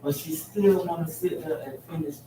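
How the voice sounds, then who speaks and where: A man in his forties sharing family troubles in a counseling group, telling a rambling story with pauses and fillers. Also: a little high, fairly even intonation. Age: 40s. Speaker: group member. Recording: very echoey room from far off, noisy.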